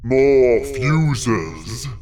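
A man voicing a huge, imperious devil in a horror story, with a booming, commanding, drawn-out delivery. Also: animated, a little mellow. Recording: echoey voice over background music.

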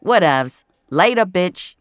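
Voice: mid-range voice, lively intonation, slow, slight background noise, voice pushed up. An actor doing a brash, hyped gamer friend. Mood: cocky, hyped. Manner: slangy, cocky, playful. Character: Tara, brash, slangy gamer friend in voice chat.

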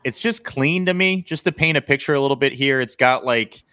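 A man's voice: mid-range voice, fairly quick, pushed and bright, no room sound, studio quality. An American man in his thirties talking casually and with opinions, like a sports podcast co-host. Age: 30s.

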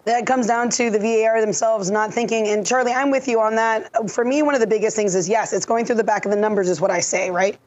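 A woman who has lost her voice, explaining refereeing decisions in a measured, technical way on a podcast. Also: on the high side, steady tone, a little mellow. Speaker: refereeing analyst. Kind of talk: podcast discussion.

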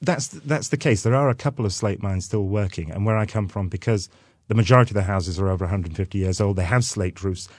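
Welsh man, guest expert, dry wit, explanatory, low pitch, dead-dry sound. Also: quick pace, darkish tone, no room sound. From North Wales. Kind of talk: radio interview.

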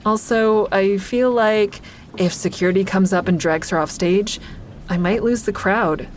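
A woman's voice: mid-range voice, unhurried, a little hiss or hum, lightly acted. An actress playing a wry stand-up comedian who narrates her own story conversationally in an audio drama. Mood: wry, reflective.

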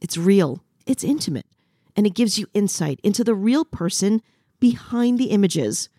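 A woman's voice: mid-range voice, animated, normal speed, dark tone, no room sound. Woman, scripted promotional narration, mid-range voice, dry close recording. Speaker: podcast promo announcer.

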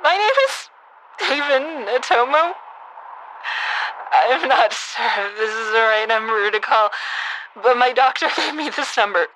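Audio drama role: fragile survivor, a bit drunk, halting and strained, on a noisy voicemail. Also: female voice, fairly high pitch, animated, pushed and bright. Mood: nervous, confessing. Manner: halting, hesitant, with nervous laughs. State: tipsy. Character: troubled, fragile woman, a shooting survivor leaving a voicemail. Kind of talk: naturalistic audio drama acting.

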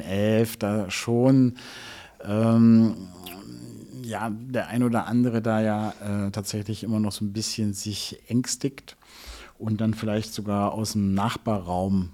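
Mellow middle-aged man explaining haltingly. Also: lowish voice, flat delivery. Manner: halting, deliberate, formal wording. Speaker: interview guest, music label executive. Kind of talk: explanatory interview talk.

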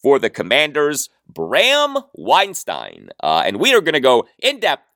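A man's voice: mid-range voice, huge pitch swings, a little slow, sharp tone, no echo, clean studio sound. An American man hosting a sports podcast, delivering commentary emphatically and stretching out words for effect.

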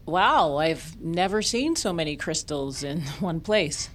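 Wry, skeptical, low-voiced woman, very animated. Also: slow pace, a little hiss or hum. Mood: tentative, curious. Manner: wry, casual, hesitant. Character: skeptical, wry young woman investigating the occult. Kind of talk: naturalistic audio fiction acting.

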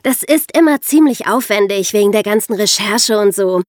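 A woman's voice: mid-range voice, expressive, normal speed, a little mellow, no room sound, clean studio sound. A woman co-hosting a podcast, explaining things conversationally and addressing the listeners directly. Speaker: podcast co-host.

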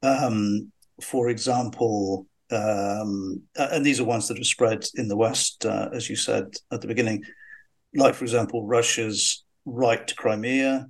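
A man's voice: lowish voice, steady tone. A man hosting an interview, summarizing the author's arguments before posing his questions. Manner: measured, summarizing the book before asking. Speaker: podcast interviewer.

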